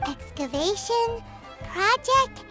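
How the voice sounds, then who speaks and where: A woman's voice: extremely high pitch, expressive, slow pace, over music, heavily acted. A woman voicing a tiny, curious, childlike companion creature in a video game, chirpy and inquisitive.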